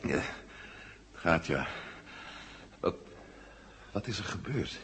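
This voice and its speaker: Commanding investigator, widely varying intonation. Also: male voice, deep voice, very animated, slow pace, a bit bright, exaggerated voice. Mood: dazed. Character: Matt, sharp-minded male investigator, commanding and intense. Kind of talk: 1970 Dutch science fiction radio drama acting. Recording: noisy vintage 1970 radio recording.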